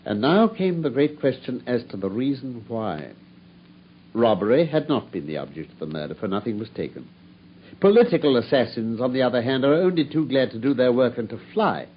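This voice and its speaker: An actor playing a coolly brilliant detective, explaining his deductions in a precise, measured way. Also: mid-range voice, sing-song, a little hiss or hum, close to an everyday voice. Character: coolly brilliant, self-assured male detective. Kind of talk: 1950s radio drama acting. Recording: dull lo-fi old radio broadcast.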